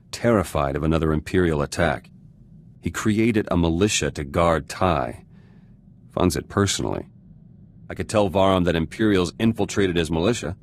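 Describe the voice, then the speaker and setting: A very deep-voiced, gruff resistance fighter from a video game. He is guarded and cynical, biting off terse, blunt phrases. A faint layer of ambient noise sits behind him. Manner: clipped, terse fragments, blunt. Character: gruff, guarded revolutionary fighter turned politician, curt and cynical. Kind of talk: video game character dialogue.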